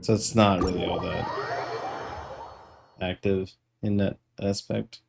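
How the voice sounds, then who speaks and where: A man chatting casually and in a low-key way while livestreaming gameplay. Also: fairly even intonation, slow pace, vocal fry, heavy background noise. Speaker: game streamer. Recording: music playing behind the voice. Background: game music.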